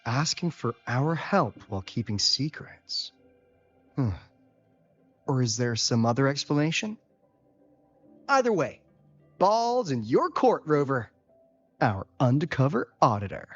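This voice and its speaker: An actor playing an easygoing, shrewd investigator in a video game, speaking in a casual, wry, husky voice. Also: male voice, medium pitch, sing-song, unhurried, pauses often. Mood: wry, pondering. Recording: dull lo-fi game audio, faint music.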